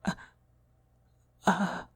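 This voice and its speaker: A woman's voice: very slow, over a noisy crowd. A woman playing a fawning female cultist devoted to her demon queen, deferential and half-whispering, in an ASMR roleplay. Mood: meek, eager.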